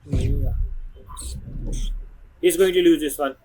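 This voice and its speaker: A woman's voice: sits low, takes it slowly, noisy background. A woman game streamer giving casual, informal reactions in short fragments over gameplay.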